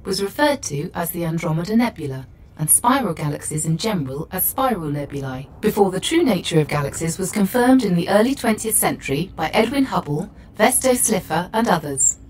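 A woman's voice: on the low side, big pitch swings, brisk, slight background noise, rough recording. A woman voicing an encyclopedic female spaceship AI, composed and precise, giving scripted explanations. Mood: composed, informative.

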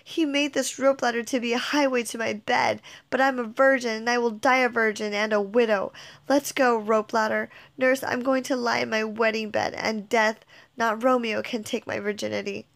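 Brief: woman reading a young Juliet, high-voiced and anguished, declaiming her grief. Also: steady tone, moderate pace, creaky. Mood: despairing. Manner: emotional, declamatory. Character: young woman Juliet, grieving, torn, passionate. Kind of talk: dramatic reading of a modernized play.

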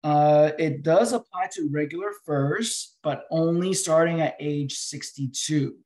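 Male webinar expert, middle-aged, slightly higher voice, explanatory and halting, on a video call. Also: a little mellow. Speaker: financial advisor guest answering questions. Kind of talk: webinar question-and-answer.

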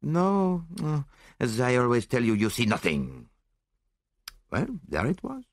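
A man voicing a shrewd, self-assured foreign detective in an audiobook, speaking briskly and precisely with little interjections. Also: medium pitch, huge pitch swings, no room sound, studio quality, pitched above the performer's own voice, exaggerated voice. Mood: explaining, smug.

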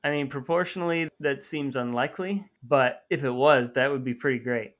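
A man's voice: mid-range voice, normal speed, fairly bright tone. A man hosting a casual podcast, chatting and addressing the listeners. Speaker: podcast host. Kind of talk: casual podcast banter and sign-off.

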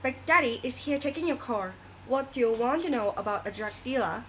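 A woman's voice: a little high, lively intonation, a little fast, fairly bright tone. Earnest radio host played by a woman, faint noise. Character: earnest, moralizing female radio talk show host. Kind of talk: scripted radio interview.

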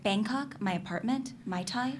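A woman's voice: mid-range voice, moderate pace, lightly acted. An actress playing a curious, persistent young woman in a dramatic reading of novel dialogue, casual and probing. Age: young adult. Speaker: actor in a staged reading. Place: indoor stage at a public reading. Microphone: microphone on a stand. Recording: noisy live stage recording.

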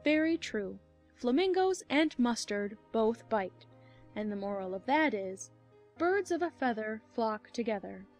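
A woman's voice: on the high side, very animated, unhurried, a little mellow, soft background music. A woman as a gushing, moralizing storybook duchess. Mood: smugly moralizing. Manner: affected, gushing, sententious. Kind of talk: dramatized audiobook acting.

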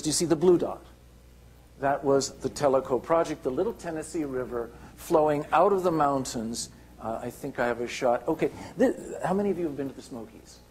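Halting older man giving a lecture. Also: on the high side, a little mellow, a little hiss or hum. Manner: halting, many restarts and fillers. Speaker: keynote speaker. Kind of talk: lecture with slides. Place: lecture hall.

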